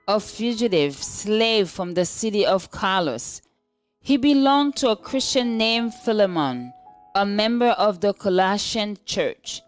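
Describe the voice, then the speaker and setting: Slow-paced woman reading a devotional. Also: medium pitch. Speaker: devotional reader.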